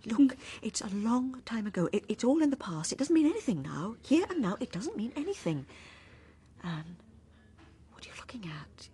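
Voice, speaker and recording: A woman's voice: fairly high pitch, sing-song, moderate pace, warm tone, breathy edge, heavily acted. A woman playing a reluctant journalist in a radio drama, speaking conversationally and hesitantly with a British accent. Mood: steadying, concerned. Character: chatty female journalist turned reluctant bearer of grim news.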